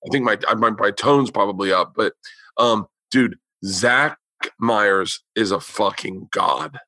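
Bright-voiced profane male podcast host. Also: on the low side, measured pace, dry recording. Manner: loose, profane storytelling with quoted voices. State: losing his voice. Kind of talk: casual chat.

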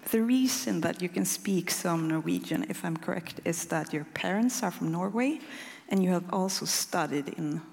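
A woman's voice: on the low side, normal speed, gravelly, darkish tone. A woman with a Swedish accent, moderating an onstage interview in a large concert hall and asking friendly, curious questions. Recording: long hall reverb.

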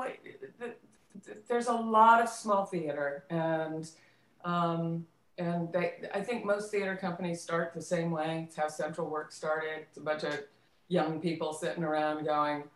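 A middle-aged woman in a conversational interview, recalling things haltingly and repeating words as she thinks. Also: lowish voice, animated. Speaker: guest, theater director and actress. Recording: roomy, echoing voice pickup.

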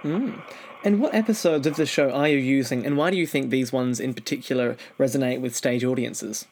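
Male interviewer, mid-pitched voice, delivering prepared questions. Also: brisk, a little mellow. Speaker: interview host.

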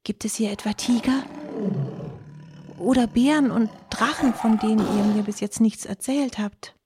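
A woman's voice: a little high, a little slow, pitched above the performer's own voice, lightly acted. A woman voicing a small, curious blue female fox in a storybook. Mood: worried.

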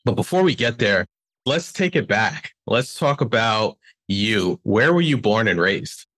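Low-voiced enthusiastic male podcast host. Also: lively intonation, unhurried, fairly bright tone. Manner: friendly, enthusiastic interviewer. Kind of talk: podcast interview hosting.

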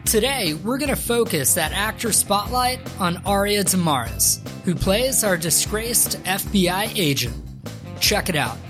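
A woman hosts a podcast, delivering announcements to listeners in a medium-pitched voice. Plucked guitar music plays clearly underneath. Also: animated, a little slow. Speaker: podcast co-writer, producer and host. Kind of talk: podcast intro and outro announcements.

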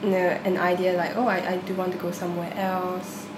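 A woman interviewing a student, asking casual questions and prompting gently. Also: lowish voice, level tone, fairly quick. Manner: gentle prompting with short backchannels. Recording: echoey room with background noise.

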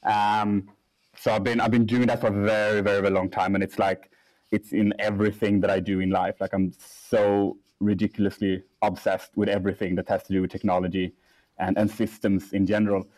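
A young man, a startup CEO, answering interview questions in a casual, halting way with many restarts and fillers. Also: fairly low pitch, level tone, darkish tone, poor audio. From Sweden.

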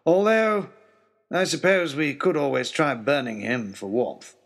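A man's voice: fairly high pitch, measured pace. A man voicing a haughty, irascible English lord with an upper-class accent and a blustering, dismissive manner. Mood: irritated.

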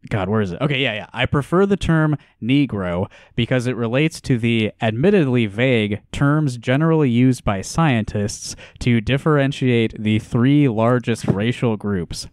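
A man's voice: mid-range voice, moderate pace, hardly any pauses, a little mellow, no echo. Wry, chuckling man, dry close sound. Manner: wry, often laughing through his words. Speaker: podcast co-host. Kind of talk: casual book discussion.

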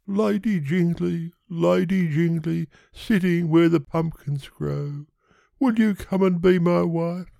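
A tiny, wistful storybook creature, pitched far above an everyday male register. It is earnest and lovelorn, pleading its case in verse, and sounds close and dry. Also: slow, gravelly, mellow, dry recording, heavily acted. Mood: hopeful pleading.

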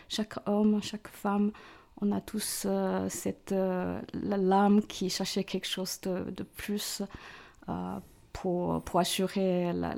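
A middle-aged woman tells her story slowly and unhurriedly. Her voice is mellow and stays level in pitch, and she pauses often to find her words. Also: creaky. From Hong Kong, China. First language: Chinese. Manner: hesitant, searching for words with many fillers. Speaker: parish council president. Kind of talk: radio interview, personal testimony.

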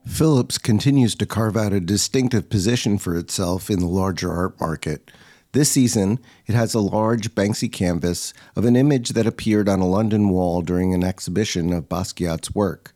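A man narrates a report in a steady, scripted way. His voice is low and has a soft, mellow tone. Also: moderate pace. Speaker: host and narrator.

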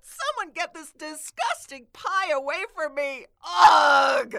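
A woman's voice: very high voice, sing-song, takes it slowly, vocal fry, bright, forceful tone, shouting, heavily acted. A woman playing a bossy, sarcastic and melodramatic schoolgirl in a comic radio play. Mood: disgusted, frantic. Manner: sarcastic, theatrical outbursts. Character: bossy, melodramatic, easily disgusted cartoon schoolgirl.

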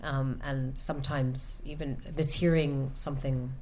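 A woman author answering audience questions about her novel, speaking thoughtfully with many pauses and restarts. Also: very deep voice, level tone, a little mellow, slight background noise. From United States. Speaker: author at a reading. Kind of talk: book talk and audience Q&A. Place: event room with an audience.

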